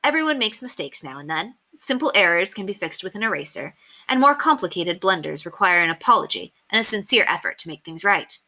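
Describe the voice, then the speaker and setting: A woman reading a children's book aloud as an expressive, emphatic storyteller. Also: medium pitch, brisk. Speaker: read-aloud reader.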